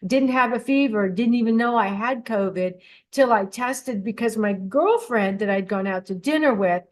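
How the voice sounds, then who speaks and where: Older lady hosting a radio show solo, chatty and upbeat, kinda high voice. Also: normal speed. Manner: chatty and encouraging, with restarts. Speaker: host of a dream radio show. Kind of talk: casual solo radio talk.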